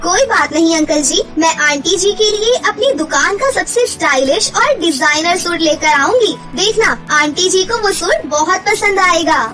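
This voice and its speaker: A woman's voice: very high voice, expressive, moderate pace, few pauses. A woman voicing an earnest, polite young working woman in a cartoon story, with a respectful, sincere manner. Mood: cheerful, polite. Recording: music under the voice.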